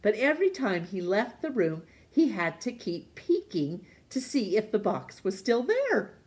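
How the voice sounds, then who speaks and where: A woman reading a children's storybook as narrator, expressive, with dramatic pauses and emphasis. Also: mid-range voice. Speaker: storytime reader.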